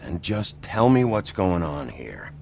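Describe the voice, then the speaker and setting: Gruff weary lawman, rock-bottom pitch, lively swings, terse, video game drama. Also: slow, a little hiss or hum, exaggerated voice. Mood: firm, insistent. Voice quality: deep, gravelly. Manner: terse, dry, blunt. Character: weary, gruff male lawman investigating a case.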